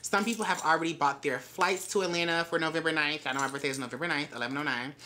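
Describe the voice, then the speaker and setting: A woman, chatting to camera in a casual vlog, animated and profane with playful shouts. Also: deep voice, very fast, a little hiss or hum. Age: 20s. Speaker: vlogger. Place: bedroom closet with shelves of bags and shoes, indoors.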